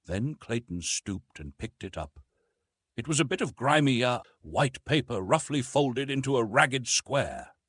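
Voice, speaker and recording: Husky, expressive male audiobook narrator. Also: medium pitch, measured pace, no echo, studio quality. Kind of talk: scripted narration of a classic adventure novel.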